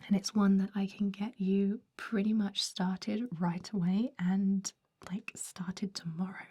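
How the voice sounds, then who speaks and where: A woman voicing a centuries-old vampire job interviewer in a breathy whisper, chatty and confiding, in an ASMR roleplay. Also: mid-range voice, level tone, measured pace, warm tone. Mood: apologetic, helpful. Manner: gossipy, confiding, hesitant rambling. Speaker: human resources interviewer.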